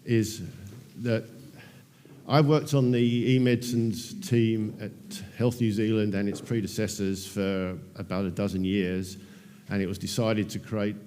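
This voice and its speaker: A man in his fifties with an English accent, giving a relaxed technical conference talk full of ums and dry self-deprecating asides. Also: lowish voice. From England. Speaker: conference presenter. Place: large conference hall. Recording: long hall reverb.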